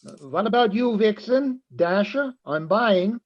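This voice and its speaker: A man's voice: high voice, lively intonation, measured pace. A man playing a genial, slightly hesitant beer drinker in a radio play. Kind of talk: reader's theatre radio play acting. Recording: dull, muffled recording.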